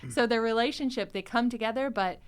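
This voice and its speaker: A woman's voice: a little high, brisk, clear, resonant tone. A woman hosting a podcast, chatting casually and retelling scenes, animatedly acting out the dialogue as she goes. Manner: animatedly acts out quoted dialogue. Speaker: podcast host recapping an audio drama.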